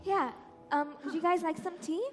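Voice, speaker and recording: A woman's voice: very high voice, normal speed. A woman playing a polite, flustered working mother in a stage comedy, hesitant and earnest. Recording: echoey hall with music.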